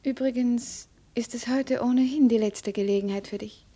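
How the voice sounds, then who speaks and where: An actress playing an imperious young princess, cold, scheming and deliberate, in 1950s radio play style. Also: female voice, fairly high pitch, fairly even intonation, warm tone, close to an everyday voice. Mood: cold command. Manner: haughty, deliberate, threatening.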